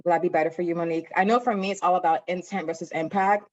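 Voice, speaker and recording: A woman hosts a panel with a quick, teasing manner, reacting openly to each speaker. Her voice sits a little low. The sound is dull and lo-fi, like a video call. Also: steady tone. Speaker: panel moderator. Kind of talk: lively panel hosting.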